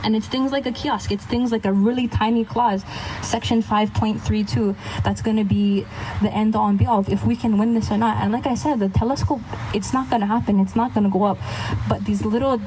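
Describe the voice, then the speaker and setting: A woman's voice: a little high, steady tone, fairly quick, few pauses, warm tone, poor audio. Young woman from hawaii talking at an outdoor rally, passionate but chatty, some noise behind. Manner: passionate, casual, self-deprecating asides. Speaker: activist speaker. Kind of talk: speech at a rally.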